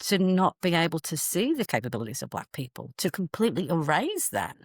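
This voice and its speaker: Low-voiced woman professor, thoughtful. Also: big pitch swings, brisk, breathy edge, no room sound, studio quality. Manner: thoughtful, hesitant with frequent ums. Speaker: professor, interview guest. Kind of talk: reflective conversation.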